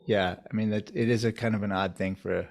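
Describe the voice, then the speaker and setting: A man, a urologist being interviewed, answering in a measured, thoughtful storytelling way. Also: fairly low pitch, fairly even intonation, gravelly. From United States, New York area. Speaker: urologist guest.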